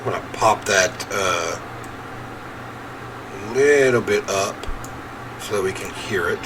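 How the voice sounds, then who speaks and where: A man's voice: fairly low pitch, slow. A man hosting a horror game playthrough, chatting casually in a rambling, self-deprecating way and reading the story aloud. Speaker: gaming host playing a horror visual novel. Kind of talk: casual let's play commentary with read-aloud narration. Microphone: standalone microphone at a desk. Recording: noisy home gaming recording.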